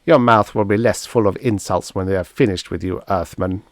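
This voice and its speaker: A man's voice: low-pitched, moderate pace, no echo, studio quality. A man voicing an evil, irritable toadlike alien commander who barks orders and sneers in a pulp science fiction audiobook. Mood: angry, menacing.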